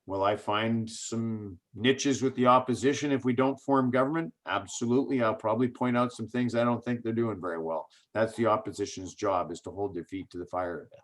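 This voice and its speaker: A man's voice: fairly low pitch, fairly even intonation, a little fast, few pauses. A man, a political candidate answering in an interview, earnest and speech-like, posing rhetorical questions and answering them.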